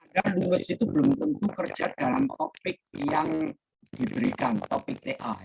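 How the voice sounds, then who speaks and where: A middle-aged male lecturer with a raspy, hoarse voice, giving an online lecture over a computer mic and explaining slowly and deliberately. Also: a little high. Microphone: computer mic on a video call. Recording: noisy call line.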